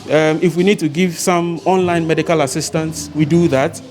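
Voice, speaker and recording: Higher-pitched Ghanaian man explaining over music. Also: fairly even intonation, moderate pace, a little mellow. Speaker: ambulance service IT deputy director. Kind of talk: interview explanation.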